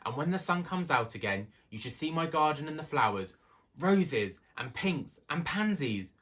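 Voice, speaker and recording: A man's voice: on the high side, sing-song, moderate pace, fairly bright tone, pitched above the performer's own voice. A man voicing a timid, polite male country mouse in a storybook, flustered and eager to please. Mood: earnest, homesick. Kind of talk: storybook character voice.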